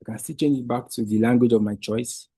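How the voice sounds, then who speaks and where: Male trainer, native Igbo speaker, husky mellow voice, dry close sound, patient tutorial delivery. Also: mid-range voice, steady tone, a little fast, no room sound. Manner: patient step-by-step explaining with restarts. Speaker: Wikidata trainer. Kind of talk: screen-share tutorial.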